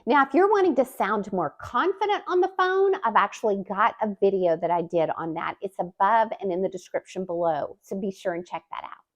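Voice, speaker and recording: A woman hosting her own channel as a communication coach, giving a scripted, expressive talking-to-camera explainer with emphatic rises in her delivery. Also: mid-range voice, a little fast, few pauses, muffled recording. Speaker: YouTube host and communication coach.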